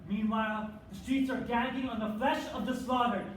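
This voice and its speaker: A woman's voice: on the high side, steady tone, moderate pace. Distant young woman in dramatic stage recital. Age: young adult. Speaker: readers theater performer on a college forensics team. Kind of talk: rehearsed dramatic readers theater speech. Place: indoor room, live stage performance. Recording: echoey room from far off, noisy.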